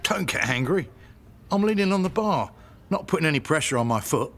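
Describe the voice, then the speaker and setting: An actor playing a defensive, jealous British husband in a radio soap. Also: male voice, fairly high pitch, sing-song, brisk, a bit bright. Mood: defensive, sheepish. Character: sheepish, jealous husband and pub landlord, defensive.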